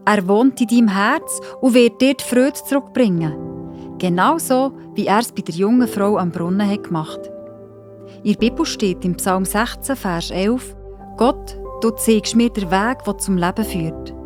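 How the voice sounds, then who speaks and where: A woman's voice: mid-range voice, unhurried, music in the background. A woman with a Swiss German accent narrating a scripted children's audio story.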